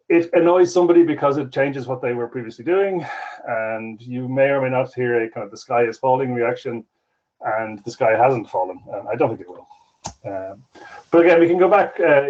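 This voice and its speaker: A man giving a conference talk over a remote call, halting often with fillers and restarts. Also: medium pitch, darkish tone, muffled recording. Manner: hesitant, many fillers and restarts. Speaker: conference speaker.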